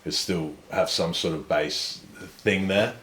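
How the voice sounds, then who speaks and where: Young man, very low voice, self-assured storyteller, roomy sound. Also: slow, bright, forceful tone. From Australia. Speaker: guest. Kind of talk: casual conversation. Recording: echoey room with faint ambience.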